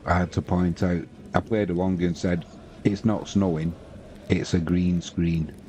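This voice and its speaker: A British man in his fifties talks through football scores on a live stream, slow and chatty in manner. His voice sits deep and warm. A faint hiss of background noise stays under him throughout. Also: little pitch movement. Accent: British English. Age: 50s. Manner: slow, unhurried, chatty, reads scores aloud. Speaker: football commentator and host. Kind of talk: relaxed live-stream football commentary and chat.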